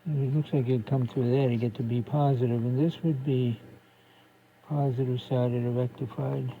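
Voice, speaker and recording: A man talking casually through a hands-on repair demonstration, halting as he thinks aloud, recorded on a phone camera. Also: mid-range voice, steady tone. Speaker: radio repair hobbyist. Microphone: phone camera propped near the workbench. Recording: lo-fi phone recording with faint noise.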